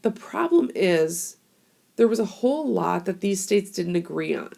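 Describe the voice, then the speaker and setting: A woman's voice: mid-range voice, expressive, measured pace, creaky, mellow. A young woman teacher with a cold, giving a casual, chatty recorded history lesson and explaining with fillers into a tablet microphone. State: hoarse from a cold. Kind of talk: classroom screencast lesson.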